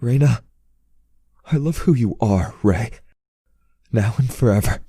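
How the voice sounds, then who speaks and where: A man's voice: mid-range voice, measured pace, warm tone, breathy edge, no echo. A man playing a tender, devoted young hero in an audio drama. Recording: music under the voice.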